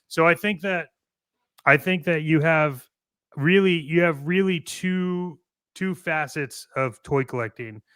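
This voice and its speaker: A man with a bright, clear voice holds forth as a casual show co-host. He is blunt and emphatic, circling back on his phrases to press a point, in a close, dry sound. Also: fairly high pitch, slow pace, dry recording. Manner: emphatic, repeats phrases, blunt with mild swearing. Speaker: co-host of a toy collecting show. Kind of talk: casual opinionated discussion.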